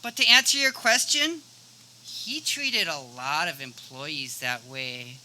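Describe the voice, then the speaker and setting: Woman playing bright-voiced, earnest office colleague. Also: deep voice, expressive, takes it slowly, slight background noise, exaggerated voice, poor audio. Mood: consoling, wistful. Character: exasperated, apologetic female office boss or colleague. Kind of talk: comedic radio play acting.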